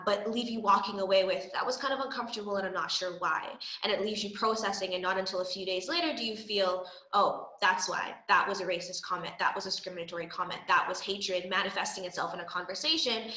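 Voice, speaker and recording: Bright-voiced woman from the Bahamas. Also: mid-range voice, echoey room, poor audio. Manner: thoughtful, hesitant with frequent ums. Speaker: panelist. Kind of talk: panel discussion.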